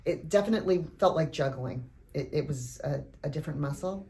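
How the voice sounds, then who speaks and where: A woman's voice: low voice, brisk, dark tone. A woman speaking casually in an interview as an actress reflecting on her craft. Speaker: actress in an audio drama.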